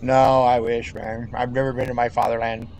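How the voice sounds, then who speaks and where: A man's voice: mid-range voice, flat delivery, normal speed, a little mellow, slight background noise, rough recording. A middle-aged man chatting casually over game voice chat, rambling, laughing often and swearing freely. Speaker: gamer in voice chat.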